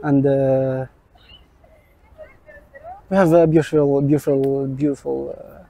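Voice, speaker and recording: A man's voice: mid-range voice, flat delivery, slow, vocal fry, mellow, poor audio. A young man from Algeria answers an interview outdoors in hesitant English full of fillers. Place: outdoors on a campus.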